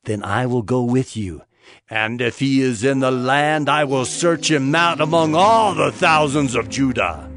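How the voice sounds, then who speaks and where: A man's voice: medium pitch, a little slow, fairly bright tone, no room sound, soft background music. An actor playing a vengeful, scheming king in a dramatized scripture reading, imperious and calculating.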